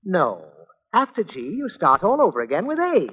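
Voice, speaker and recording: A long-suffering father in a vintage radio comedy. He sounds husky and theatrically exasperated, his pitch swinging widely, on a muffled old recording. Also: huge pitch swings, moderate pace, exaggerated voice. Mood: strained patience.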